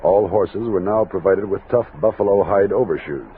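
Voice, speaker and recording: A deep-toned American captain of a frontier expedition, steady and in command. He speaks in old-time radio drama style. The recording is narrow and plainly noisy. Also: moderate pace. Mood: reflective narrating. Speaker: lead actor and narrator.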